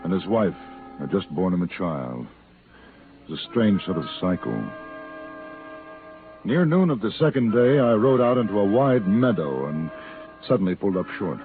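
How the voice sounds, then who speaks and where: An actor playing a cool, self-assured gunfighter in a 1950s Western radio drama, speaking in a measured, polite way. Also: male voice, deep voice, lively intonation. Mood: reflective. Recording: old tinny radio recording with music.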